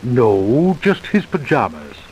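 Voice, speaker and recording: An evasive, defensive doctor. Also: male voice, medium pitch, huge pitch swings, takes it slowly, a little mellow, poor audio. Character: nervous male doctor suspect, defensive. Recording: hissy old radio recording.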